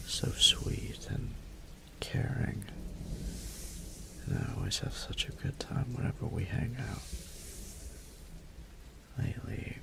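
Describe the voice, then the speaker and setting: A man playing a sweet, lovestruck young man in a romantic audio roleplay, speaking tenderly and hesitantly in a breathy half-whisper. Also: lowish voice, a bit bright, heavily acted, poor audio.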